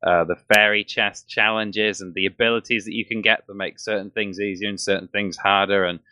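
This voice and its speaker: A man's voice: on the low side, steady tone, fairly quick, pushed and bright. A man talking enthusiastically and at length in a casual gaming chat as a podcast co-host. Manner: enthusiastic, rambling.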